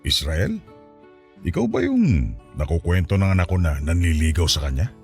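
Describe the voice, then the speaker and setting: A stern Filipino soldier father in a radio drama. His voice sits very low but swings widely in pitch. He lectures with fatherly authority and a teasing edge, over faint music. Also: very animated, moderate pace, vocal fry, darkish tone, exaggerated voice. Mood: guarded. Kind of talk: Tagalog radio drama acting.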